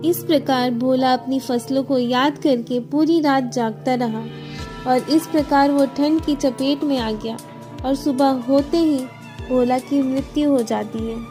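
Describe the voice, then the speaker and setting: A woman's voice: high voice, steady tone, unhurried, over music. A woman reading scripted narration for a moral story as the storyteller.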